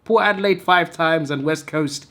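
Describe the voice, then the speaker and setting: A hoarse man who is under the weather, hosting a channel and tallying wrong viewer tips with mock exasperation. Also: a little high, moderate pace, a bit bright. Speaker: channel host. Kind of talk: casual commentary reading out viewer tips.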